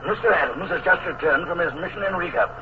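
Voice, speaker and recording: A man's voice: fairly high pitch, lively intonation, background noise, lightly acted. A man playing a deferential, loyal navy captain. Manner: respectful, deferential, reports briskly. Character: loyal, worried male naval captain. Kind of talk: 1940s radio acting. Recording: tinny, echoey old radio broadcast.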